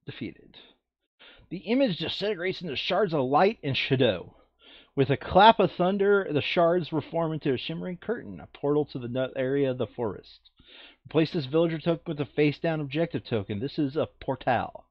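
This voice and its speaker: A man's voice: on the high side, animated, fairly quick, no room sound. Dry, muffled man playing a tabletop game. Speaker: board game player reading out scenario text. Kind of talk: casual tabletop game play, mixing chat with read-aloud text.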